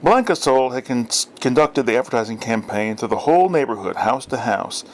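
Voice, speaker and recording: Man narrating a story, medium pitch. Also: animated, brisk. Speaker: audiobook reader.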